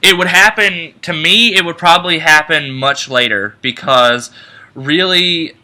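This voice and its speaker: A man's voice: a little high, unhurried. A man co-hosting an online radio show, reacting expressively and starting over as he talks. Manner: expressive, sighs and gasps. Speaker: podcast co-host. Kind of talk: casual fan discussion.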